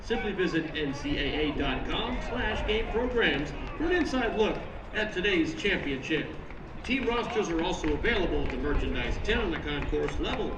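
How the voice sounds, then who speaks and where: A man reading a scripted announcement over an outdoor stadium's public-address loudspeakers. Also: a little high, sing-song, normal speed. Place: outdoor stadium, heard from afar. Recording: echoey distant PA, clear outdoor noise.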